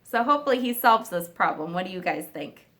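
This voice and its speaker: A woman teacher reading a story aloud to young children, expressive and pausing to explain and ask them questions. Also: medium pitch. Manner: expressive, asks listeners questions. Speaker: kindergarten teacher.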